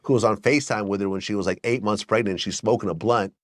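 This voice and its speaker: A man's voice: lowish voice, fast talker, no room sound. A man in his thirties in a small studio, talking through celebrity gossip in a matter-of-fact way. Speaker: podcast host. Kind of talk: gossip commentary in a podcast.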